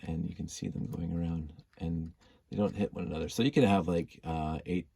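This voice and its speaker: A man's voice: low voice, steady tone, brisk, gravelly, warm tone, breathy edge, no echo. A man with a stuffy cold, thinking aloud while he builds, rambling and humming as he works. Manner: rambling, self-deprecating, hums and mutters while working. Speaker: building hobbyist vlogger.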